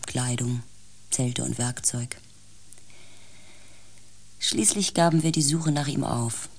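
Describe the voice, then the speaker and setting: A woman's voice: very deep voice, a little mellow, full character voice. An actress playing a female space pilot, grave and intense, in a science fiction radio play. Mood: grave, resigned. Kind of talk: radio play acting, dramatic first-person narration.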